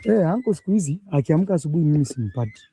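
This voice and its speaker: A man's voice: on the high side, very animated, racing, dark tone, breathy edge. A man in a casual recorded conversation, asking questions.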